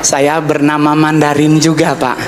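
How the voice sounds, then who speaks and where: A man's voice: a little high, monotone, a little slow. A man from North Sulawesi doing a teasing, self-mocking stand-up set at a formal event, addressing the guest of honor again and again. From Indonesia, North Sulawesi. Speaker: stand-up comedian. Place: large indoor event hall. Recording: echoey hall PA with noise.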